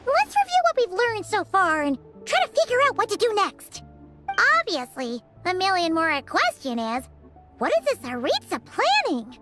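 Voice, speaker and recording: A woman voicing a small, childlike fairy companion with a squeaky, chirpy, fretful and chatty voice in a video game cutscene. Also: wildly swooping pitch, moderate pace, full character voice. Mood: pensive. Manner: chirpy, refers to herself in third person. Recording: music playing behind the voice.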